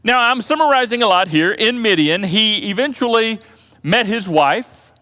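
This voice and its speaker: A man preaching a sermon as pastor, telling a story in an animated way and often repeating words as he thinks aloud. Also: sits high, moderate pace. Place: church auditorium. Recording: long church hall reverb.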